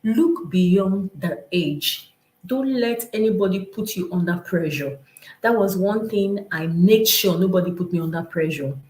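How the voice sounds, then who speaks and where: A woman's voice: lowish voice, animated, measured pace, a little mellow. A woman with a Nigerian accent gives informal, motivational teaching on a live stream, speaking emphatically and conversationally with a lot of repetition. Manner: emphatic, repetitive, conversational, acting out imagined remarks. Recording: roomy, echoing live-stream sound.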